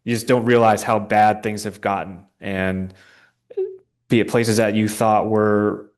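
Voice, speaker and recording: A young man speaking as a guest, giving informal political talk in answer to questions, somewhat halting as he searches for words. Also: lowish voice, level tone. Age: young adult.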